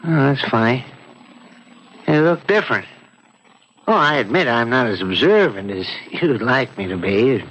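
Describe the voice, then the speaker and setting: Dull, hissy old radio sound, with an easygoing husband. He talks slowly and mildly, with puzzled mumbling hesitations, in a 1970s radio drama style. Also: medium pitch, expressive, a bit bright.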